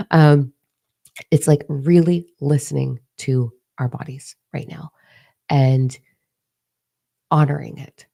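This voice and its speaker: A young woman with a hoarse, partly lost voice, giving a casual spiritual talk and confiding freely with plenty of swearing. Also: low voice, mellow. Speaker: spiritual teacher.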